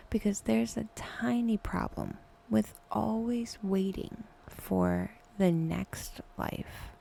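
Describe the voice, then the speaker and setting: Slow, near-whispering woman guiding meditation. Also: medium pitch, a little hiss or hum. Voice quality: soft, breathy, often near-whispered. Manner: unhurried, with long pauses between short phrases. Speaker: meditation guide, podcast host. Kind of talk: guided meditation, soft slow scripted narration.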